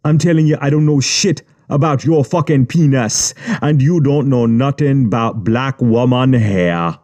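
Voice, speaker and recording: A man's voice: mid-range voice, a little slow, voice pushed up, lightly acted. A man doing a cold, menacing gang boss. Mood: mocking. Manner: quiet, threatening, broken-grammar street speech. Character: menacing calm adult male gang boss.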